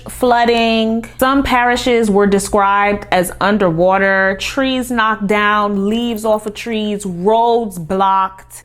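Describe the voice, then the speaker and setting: A young Caribbean-American woman hosting a casual commentary vlog, playfully slipping between an American accent and Trinidadian Caribbean dialect and slang. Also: medium pitch. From United States, Caribbean roots. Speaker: commentary YouTuber.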